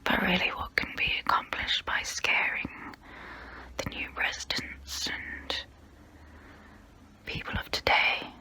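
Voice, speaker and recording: A woman voicing a haughty immortal vampire who looks down on humans, in a breathy close-up whisper for an ASMR role play. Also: bright, forceful tone, poor audio. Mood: disdainful, musing. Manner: slow, deliberate, condescending.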